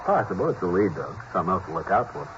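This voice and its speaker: A brisk, low-voiced police partner. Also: male voice, very animated. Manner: brisk, businesslike reporting. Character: steady male police detective partner. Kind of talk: 1950s radio police drama. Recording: muffled old radio broadcast with hiss.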